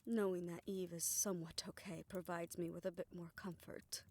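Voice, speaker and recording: A woman playing a weary, affectionate older woman in an intimate romantic audio roleplay, speaking softly and reflectively in a measured way. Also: lowish voice, little pitch movement. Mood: worried, melancholy.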